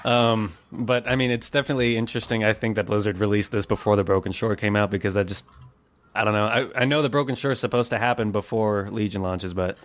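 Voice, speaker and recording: A man's voice: on the low side, steady tone, fast talker. A man hosting a gaming podcast in casual chat, enthusiastic and jokey, often ready to chuckle. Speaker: podcast host.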